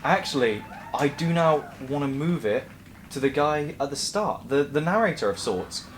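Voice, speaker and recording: A man's voice: a little high, expressive, moderate pace, slight background noise. A man hosting a casual cast interview, prodding and teasing his guests with repeated questions. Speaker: interview host.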